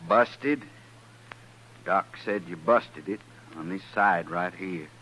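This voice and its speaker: An actor playing a plain-spoken, chastened cattle drover with a rural western American drawl, folksy and sheepish, in old-time radio style. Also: male voice, deep voice, slow, bright, forceful tone, full character voice. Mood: rueful. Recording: noisy, humming vintage radio recording.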